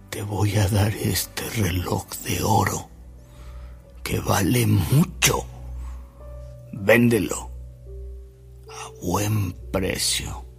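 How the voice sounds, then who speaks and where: An actor playing a weary, reflective older man with a Mexican accent, recalling events in a measured radio drama style with dramatic pauses. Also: medium pitch, gravelly, darkish tone, husky, heavily acted. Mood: moved, solemn.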